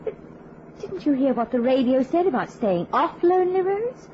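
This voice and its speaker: An actress playing an anxious, pleading wife stranded on a lonely road in an old-time radio drama. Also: female voice, high-pitched, big pitch swings, moderate pace. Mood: worried. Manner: fearful, pleading, jumpy. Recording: noisy, muffled vintage radio drama recording.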